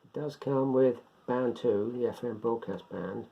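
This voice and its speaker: Older man with a gravelly, creaky voice. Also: mid-range voice. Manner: halting, unscripted, thinking aloud. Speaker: presenter of a beginners' radio hobby video. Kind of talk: casual product demonstration. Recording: dull lo-fi sound, faint background noise.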